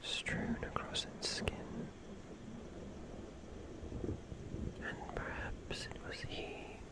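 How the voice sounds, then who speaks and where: A performer voicing an ancient, gentle but eerie forest guardian in an ASMR roleplay, hushed and soothing, with lingering pauses. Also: lightly acted. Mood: dreamy, musing. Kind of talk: whispered ASMR roleplay, horror-tinged bedtime storytelling.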